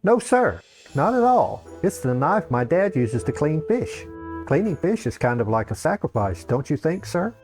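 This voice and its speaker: A man's voice: fairly high pitch, sing-song, moderate pace, darkish tone, soft background music. A man voicing an earnest, deferential young acolyte with a polite, obliging manner in a comic fantasy story. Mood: eager, polite.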